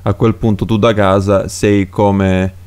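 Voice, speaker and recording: Deep smooth-voiced male podcast host. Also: little pitch movement, unhurried, a little mellow. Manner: casual, chatty, asks questions. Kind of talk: casual podcast chat.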